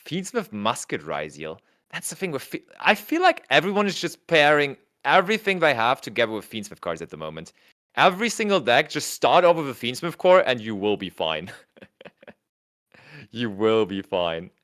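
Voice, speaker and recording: A German-accented man co-commentating a tournament stream in a loose, chatty way. His voice swings widely in pitch as he thinks aloud and laughs often, and he sits at a middle pitch for a man. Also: wildly swooping pitch, normal speed, fairly bright tone. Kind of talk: casual livestream commentary, reading chat aloud.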